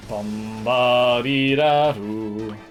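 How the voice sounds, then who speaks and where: A man's voice: mid-range voice, extremely slow. Man casually commentating a video game over music. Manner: thinks aloud, hums little nonsense tunes. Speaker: video game streamer and speedrunner.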